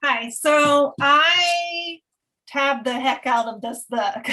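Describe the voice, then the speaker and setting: High-voiced, hesitant book club member. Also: female voice, sharp tone, rough recording. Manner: hesitant, many ums, slow. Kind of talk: casual question to an author.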